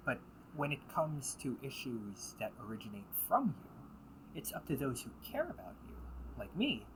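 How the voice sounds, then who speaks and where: A man playing a teasing but protective older brother in an intimate audio roleplay, talking casually and earnestly with halting pauses. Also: mid-range voice, noisy background. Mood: earnest, reassuring. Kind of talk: intimate audio roleplay monologue addressed to listener.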